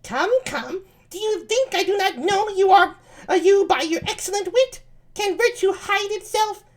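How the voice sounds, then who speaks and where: A woman voicing an insistent, teasing lady at a masked ball in a dramatic reading, in a thin high voice. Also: normal speed. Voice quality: thin high-pitched put-on voice.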